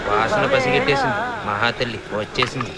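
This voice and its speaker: Sneering petty thief, music behind. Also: male voice, medium pitch, wildly swooping pitch, fairly quick. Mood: teasing. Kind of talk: Telugu film comedy acting.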